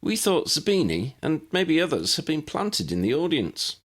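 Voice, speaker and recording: A man voicing a brisk, confident young woman, a witch, in an audiobook story. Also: fairly high pitch, sing-song, voice pushed up. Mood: brisk, explaining.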